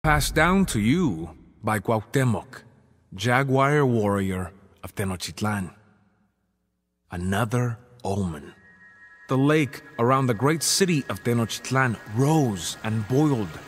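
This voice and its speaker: An actor playing a proud, grave Aztec jaguar warrior, narrating a game cutscene in a solemn, measured storytelling manner. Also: male voice, mid-range voice, expressive, husky.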